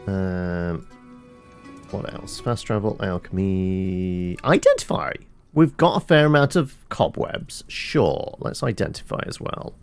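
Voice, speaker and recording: A man with a low, gravelly voice talks through his gameplay in a relaxed, offhand way. His pitch swings widely and lively as he thinks aloud and mutters to himself, with faint music underneath. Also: slow. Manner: muttering thoughts aloud while playing, casual swearing. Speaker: gaming channel host. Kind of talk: casual let's-play commentary.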